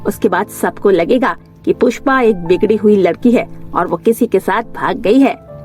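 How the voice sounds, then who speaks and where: A woman voicing a jealous, scheming young woman who feigns sweetness in an animated story, speaking in a measured way. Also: mid-range voice, soft background music, close to an everyday voice. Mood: vengeful. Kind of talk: Hindi animated fairy tale voice acting.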